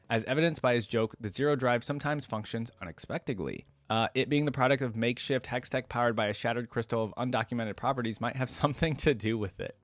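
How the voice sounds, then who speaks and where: A man's voice: mid-range voice, no room sound. A man hosting a reaction channel, reading aloud and adding casual, conversational commentary. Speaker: host of a game lore reaction channel.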